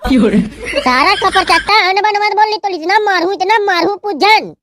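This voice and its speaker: A man's voice: very high voice, slow, noisy background. A man voicing a hot-headed young village man in a comedy cartoon, blustering and trading insults with a Chhattisgarhi accent. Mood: angry. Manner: blustering, insult-slinging banter. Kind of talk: comic cartoon dialogue. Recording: music bed under the dialogue.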